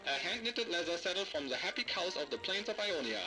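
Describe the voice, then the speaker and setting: An actor playing a showy salesman in a parody game commercial, with a theatrical, grandiose sales pitch. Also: animated, quick pace, poor audio. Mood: showy, salesy. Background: music.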